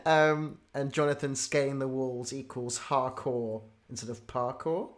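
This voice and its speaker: A woman's voice: rumbling low, fairly even intonation, unhurried. A woman hosting a casual, unscripted interview. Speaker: interviewer, event host.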